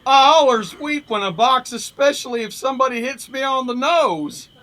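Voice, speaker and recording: A man's voice: very high voice, normal speed, bright, forceful tone, pitched above the performer's own voice, low-quality recording. A man reading a pulp story as a mournful, simple-minded boxer with a plaintive manner.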